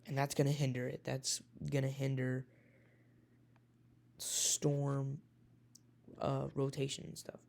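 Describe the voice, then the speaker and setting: A man with a raspy voice, a weather enthusiast casually talking through a forecast with many hesitations and self-corrections. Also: mid-range voice, completely flat delivery, slow pace, long pauses, darkish tone.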